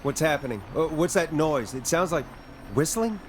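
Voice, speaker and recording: An actor voicing a concerned, hesitant radio host in a horror video game, earnest and questioning. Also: male voice, a little high, animated, normal speed, a little hiss or hum, lightly acted. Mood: confused, alarmed. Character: uneasy, concerned male late-night radio host.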